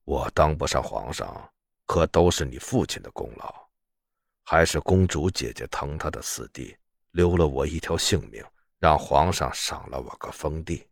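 A man's voice: very deep voice, animated, moderate pace, gravelly, no room sound, clean studio sound, exaggerated voice. A man playing a sly, shameless prince posing as a monk, teasing and theatrically aggrieved in a period audio story. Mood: dry needling.

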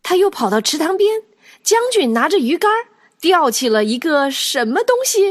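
Female narrator for children's audio drama: highish voice, lively, expressive storytelling. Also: normal speed, no echo, studio quality. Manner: animated storytelling.

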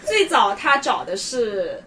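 A woman talking in a casual group chat as a podcast panelist, with an animated, gossipy and emphatic way of talking. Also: fairly high pitch, takes it slowly, a loud crowd.

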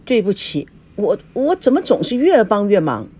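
A woman's voice: medium pitch, big pitch swings, normal speed, darkish tone, husky. A woman voicing a young female assistant in an audiobook, hesitant, apologetic and plaintive.

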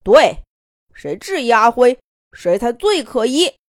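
A pack of quarrelsome storybook wolves snaps and argues at full volume, as a woman puts them on. The voice sits fairly high and swings widely in pitch. The recording is close and dry. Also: very animated, takes it slowly, dry recording. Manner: loud, raised arguing voice.

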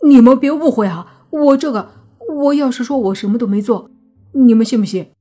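A woman's voice: medium pitch, lively intonation, normal speed, warm tone, breathy edge, faint music behind. A woman narrator voicing a flustered, indignant young male student who sputters defensively in an audiobook story. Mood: panicked.

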